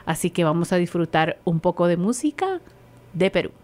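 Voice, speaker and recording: A woman's voice: fairly low pitch, very animated, moderate pace, a little mellow. A woman co-hosting a cultural program, explaining facts with frequent hesitations. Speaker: co-host. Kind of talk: presenting facts.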